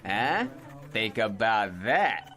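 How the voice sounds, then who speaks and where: An actor playing a brash, boastful American dreamer with cocky hustler patter in a theatrical radio drama style. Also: male voice, on the low side, big pitch swings, very slow, full character voice. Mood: cocky wheedling. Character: brash, boastful man, a scheming big-talking dreamer.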